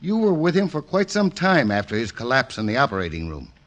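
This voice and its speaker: An actor playing a blunt, suspicious sheriff, brusque and skeptical, in an old radio drama style. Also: male voice, mid-range voice, wildly swooping pitch, a little fast, a bit bright. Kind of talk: 1940s radio drama acting.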